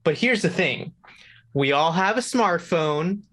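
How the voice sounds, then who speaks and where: A man's voice: fairly high pitch, expressive, takes it slowly, a bit bright. A man speaking as a show guest, explaining things casually and thinking aloud. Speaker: video game contributor, show guest.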